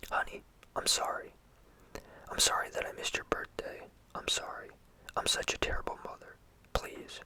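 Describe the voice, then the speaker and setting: A storyteller whispering the lines of a creature that imitates a pleading, tearful mother, coaxing and soft. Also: normal speed, pauses often.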